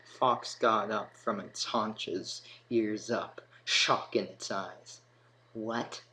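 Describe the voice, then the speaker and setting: A man's voice: on the low side, steady tone, takes it slowly, pushed and bright. A man reading scripted storybook narration as the narrator. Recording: noticeable background noise.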